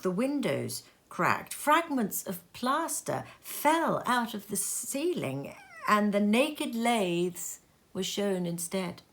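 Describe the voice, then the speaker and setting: Slow, expressive woman storyteller. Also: mid-range voice. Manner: dramatic, deliberate phrasing. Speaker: storyteller reading a classic novel aloud. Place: sitting room at home.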